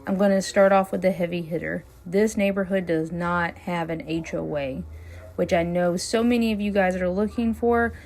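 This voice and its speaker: A woman realtor, chatting casually while driving, her voice hoarse and scratchy as she loses it. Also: fairly low pitch, steady tone, normal speed, a little mellow. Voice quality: gravelly, hoarse. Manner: friendly, chatty, upbeat sales talk. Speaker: realtor hosting a neighborhood tour channel. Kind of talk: casual driving-tour vlog talk. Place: inside a car, driving.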